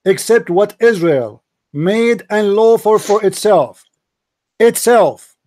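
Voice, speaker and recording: A man's voice: high voice, animated, slow, a little mellow, no room sound, studio quality. A man debating hotly, mocking and interrupting his opponent and repeating his demands. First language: Arabic. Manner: mocking, combative, repeats himself, laughs at opponent. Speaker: debate host. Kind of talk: heated religious debate.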